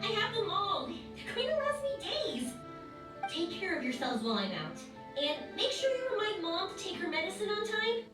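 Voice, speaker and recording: An actress playing a cheerful, excitable young woman in a video game, chatty and expressive. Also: extremely high pitch, moderate pace, exaggerated voice. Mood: reassuring. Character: cheerful, excitable young woman, fireworks maker's adventurous daughter. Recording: game dialogue over background music.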